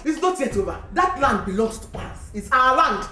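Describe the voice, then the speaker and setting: A woman's voice: high voice, very animated, normal speed, sharp tone, exaggerated voice. A woman with an Igbo Nigerian accent playing an indignant middle-aged wife and mother in a film drama, emphatic and accusing. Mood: defiant. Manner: emphatic, accusing, repeats herself. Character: indignant wife and mother defending her husband's land.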